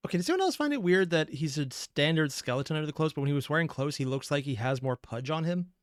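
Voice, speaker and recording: A man's voice: fairly high pitch, lively intonation, fast, slight background noise. A man hosting a reaction video, giving casual running commentary in a musing, questioning, wry way.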